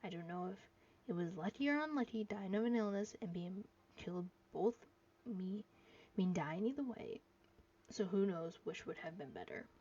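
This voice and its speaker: A woman's voice: medium pitch, animated, normal speed, dark tone, slightly rough, dull audio. A woman live streaming a game, reading dialogue aloud and reacting, sometimes stumbling over the words.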